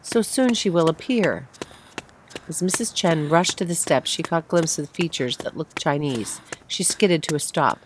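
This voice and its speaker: Female storybook narrator, deep register, reading aloud with faint background noise. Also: normal speed, few pauses, poor audio. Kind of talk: scripted short-story narration, read aloud.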